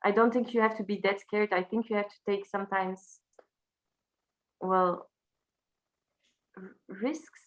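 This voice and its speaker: A woman from Brussels with a Belgian French accent, a native French speaker talking casually as an interview guest in halting English, searching for words. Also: medium pitch, fairly even intonation, dull audio. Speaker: interview guest, singer and teacher.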